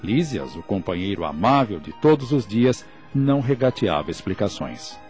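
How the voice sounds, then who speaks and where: Man narrating a radio drama, lowish voice, formal wording, lots of melody, music underneath. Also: sing-song, normal speed, a little mellow. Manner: formal, literary diction.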